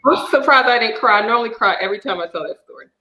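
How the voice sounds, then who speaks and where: A woman's voice: fairly high pitch, expressive, very fast, reverberant, a crowd in the background. A woman telling her personal story as a divorce coach guest, earnest and emotional. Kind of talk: personal testimony in a talk show.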